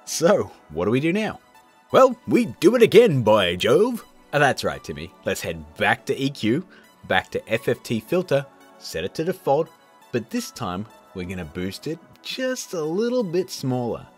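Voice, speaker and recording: Lively, chatty male tutorial host. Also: mid-range voice, normal speed, husky, faint music behind. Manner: chatty, jokey, informal. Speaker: host of an effects tutorial channel. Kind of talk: casual tutorial presenting.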